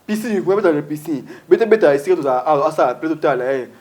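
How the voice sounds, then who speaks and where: A man chatting as a guest, rambling and animated with lots of fillers, switching into Lingala. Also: on the high side, fast talker. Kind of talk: casual chat mixing French and Lingala.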